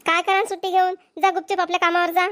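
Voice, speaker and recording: A woman's voice: extremely high pitch, flat delivery, quick pace, fairly bright tone. A woman voicing a dutiful, bashful young village daughter-in-law in an amateur cartoon comedy, with a Varhadi Marathi accent. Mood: playfully scolding.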